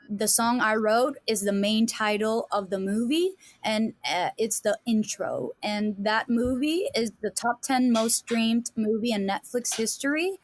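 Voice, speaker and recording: A woman's voice: a little high, dry recording. A woman from Denmark with a Danish accent, a musician guest on a podcast, talking casually with lots of fillers and restarts. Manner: rambling with many fillers and restarts. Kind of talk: casual chat.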